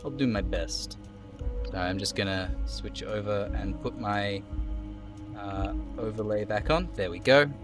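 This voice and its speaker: A man's voice: lowish voice, fairly even intonation, unhurried. A man streaming a game, giving a live dramatic reading of its narration and dialogue. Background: faint music.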